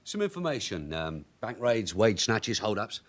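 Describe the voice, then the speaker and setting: An actor playing a shrewd veteran London detective sergeant in a radio drama, dry, knowing and informal. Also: male voice, on the low side, sing-song, normal speed, slight background noise. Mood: businesslike. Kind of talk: British radio drama acting.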